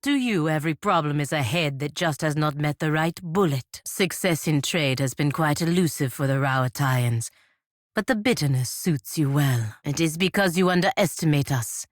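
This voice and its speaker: An actress playing a proud, sardonic fantasy warrior-diplomat in a video game, speaking formally and with dry scorn. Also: female voice, low-pitched, moderate pace, no room sound, lightly acted. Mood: cool, formal. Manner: formal, clipped, dryly scornful. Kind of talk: video game character dialogue.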